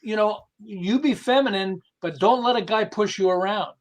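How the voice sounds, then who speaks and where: High-pitched man explaining things conversationally. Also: moderate pace. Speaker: podcast guest, behavioral management specialist.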